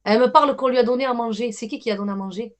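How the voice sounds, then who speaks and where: A woman leads a live medium session over a video call. Her voice sits higher than most, and she talks in a hesitant, reassuring way, often repeating herself. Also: rapid-fire. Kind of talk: live medium reading with callers.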